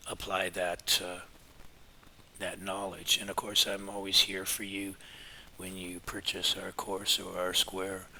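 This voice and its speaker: A middle-aged man with a hoarse, scratchy voice, giving a relaxed, rambling market update full of pauses. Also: sits low. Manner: relaxed and meandering, many filler pauses. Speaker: market forecasting teacher. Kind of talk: casual spoken market update.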